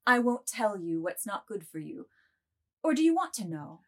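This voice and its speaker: A woman's voice: mid-range voice, very animated, normal speed, fairly bright tone. A woman playing a saucy young prostitute who reads palms, teasing and pert, in a dramatised reading. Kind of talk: dramatised novel table reading.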